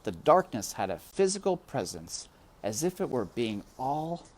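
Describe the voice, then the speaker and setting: Slow, highly expressive storybook narrator. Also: slight background noise. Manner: deliberate, dramatic phrasing. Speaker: storybook reader. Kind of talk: expressive scripted narration, children's read-aloud.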